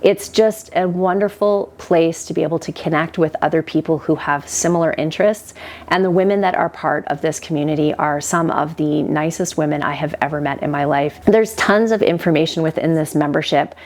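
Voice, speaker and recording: A woman's voice: low voice, a little fast, darkish tone. A woman with a stuffy, nasal head cold, hosting a casual, chatty kitchen vlog at home. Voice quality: nasal, congested. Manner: friendly, chatty, rambling with fillers. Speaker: homestead channel host.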